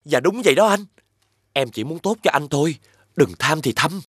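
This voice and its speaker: An actor playing a humble, kind, honest younger brother in an animated fairy tale, speaking respectfully. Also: male voice, fairly high pitch, moderate pace, noisy background, natural voice. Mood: concerned. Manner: respectful, deferential.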